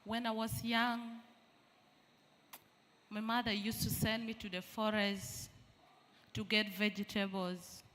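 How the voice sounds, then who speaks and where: Woman, very slow and deliberate, earnest speech, long hall reverb. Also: mid-range voice, level tone, fairly bright tone. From Uganda. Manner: slow, earnest, asks rhetorical questions. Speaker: refugee representative, panel speaker. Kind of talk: conference statement. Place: large conference hall.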